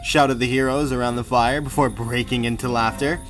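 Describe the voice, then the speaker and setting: A man's voice: medium pitch, fairly even intonation, brisk, a bit bright, faint music behind. A man narrating an audiobook in a scripted, dramatic storytelling style.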